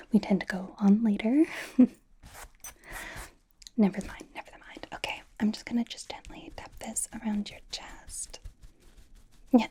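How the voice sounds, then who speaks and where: A woman's voice: medium pitch, mellow, husky. A woman playing a bubbly, encouraging young K-pop idol in an ASMR personal-attention roleplay, whispering breathily in a rambling, giggly, reassuring way. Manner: rambling, giggly, reassuring, casual youthful phrasing.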